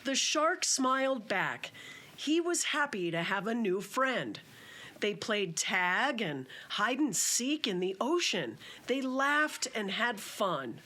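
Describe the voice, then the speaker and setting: A woman reading a children's story aloud as its author, in a simple, measured narrating style. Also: on the high side, sing-song, pushed and bright.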